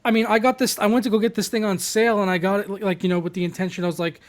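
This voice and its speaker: Fast-talking excitable man. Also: high-pitched. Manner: fast, excitable, swears, repeats words. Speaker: host. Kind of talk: casual tech chat.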